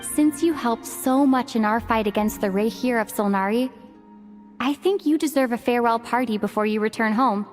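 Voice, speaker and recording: A young female traveler from a video game, voiced by a woman, gentle and composed. The voice is high and sounds earnest and kind. Music plays quietly underneath. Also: normal speed, close to an everyday voice.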